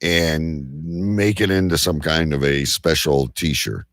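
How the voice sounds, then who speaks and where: A man's voice: rumbling low, takes it slowly, fairly bright tone, no room sound, studio quality. A man hosting a casual panel chat, bantering in a blunt, teasing way. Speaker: show host.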